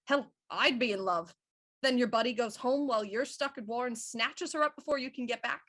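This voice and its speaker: A woman playing a shrewd, self-assured Victorian police inspector, explaining deliberately and theatrically in a murder mystery audio drama. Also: fairly high pitch, a bit bright. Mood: confident deduction.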